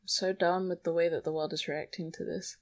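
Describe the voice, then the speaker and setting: A woman's voice: fairly low pitch, flat delivery, brisk. A young woman podcasting alone in a rambling, confessional monologue, anxious and self-deprecating. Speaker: podcast host. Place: small bedroom at home. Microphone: small microphone.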